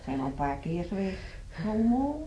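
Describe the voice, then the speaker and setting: An actress playing a chatty, cheerful hospital patient making small talk in a naturalistic radio drama. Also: female voice, mid-range voice, very animated, moderate pace, mellow, a little hiss or hum, lightly acted, low-quality recording. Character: chatty, cheerful woman patient keen on holidays.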